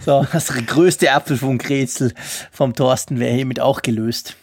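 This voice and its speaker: Gravelly Swiss-accented man chatting on a podcast. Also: mid-range voice, fairly even intonation, measured pace, no room sound, studio quality. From Switzerland. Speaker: podcast co-host. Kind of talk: casual tech podcast chat.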